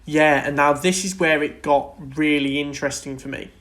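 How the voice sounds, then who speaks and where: Higher-voiced man, earnest podcast co-host. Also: fairly even intonation, normal speed, a bit bright. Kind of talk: casual film and TV discussion.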